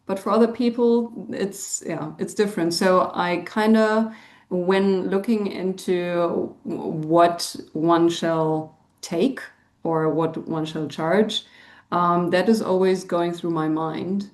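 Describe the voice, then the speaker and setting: Hesitant woman caller from Germany, slightly low voice, roomy echo on the line. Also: steady tone, slow pace, darkish tone. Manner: hesitant, lots of um, laughs nervously. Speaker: audience member asking a question. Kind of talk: live video call Q&A.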